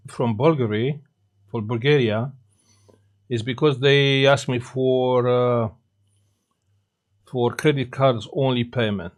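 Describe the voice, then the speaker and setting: Halting man with a Romanian accent. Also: mid-range voice. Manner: halting, frequent filler pauses, reads out viewer comments. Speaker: live stream host. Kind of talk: casual live stream chat with viewers.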